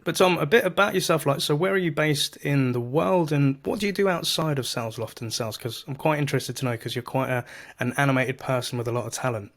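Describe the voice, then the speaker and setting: A man's voice: mid-range voice, fast talker, fairly bright tone. A man hosting a casual podcast interview over a video call, enthusiastic and chatty. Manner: enthusiastic, chatty, laughs often. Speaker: podcast host.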